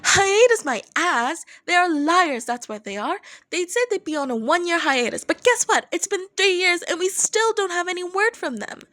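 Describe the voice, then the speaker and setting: A woman's voice: very high-pitched, animated, moderate pace, hardly any pauses, fairly bright tone, no room sound, voice pushed up. A woman playing an outspoken, indignant young woman who complains emphatically, in an audio story.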